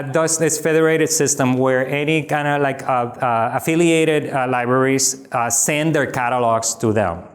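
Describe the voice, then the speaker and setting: A man from the Republic, answering audience questions after a talk in an animated, emphatic way with wry asides. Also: mid-range voice. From Dominican Republic. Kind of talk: lecture Q&A, conversational.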